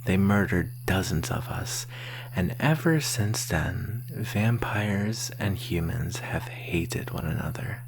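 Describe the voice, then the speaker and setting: A woman playing a flirtatious, menacing vampire in a breathy, whispered ASMR roleplay, teasing and intimate up close to the mic. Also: rumbling low, slow pace, full character voice, rough recording. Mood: bitter. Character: flirtatious, menacing thirsty vampire lurking in woods. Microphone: close microphone. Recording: faint steady hiss and hum.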